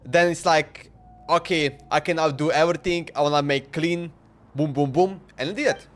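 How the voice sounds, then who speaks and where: A man's voice: on the high side, fairly even intonation, normal speed. A man chatting casually on a game livestream in an informal, slangy, repetitive way. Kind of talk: casual stream chat.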